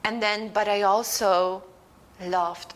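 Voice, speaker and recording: A woman's voice: mid-range voice, fairly even intonation, takes it slowly. A middle-aged woman from Poland, answering interview questions thoughtfully and searching for words. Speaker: guest artist. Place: museum gallery.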